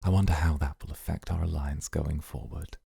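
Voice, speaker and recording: Man acting very deep, gravelly mafia charmer. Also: steady tone, a little fast, dark tone, dry recording, clean studio sound, heavily acted. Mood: intrigued, coy. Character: suave, flirty, calculating male mafia boss. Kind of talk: flirty ASMR roleplay acting.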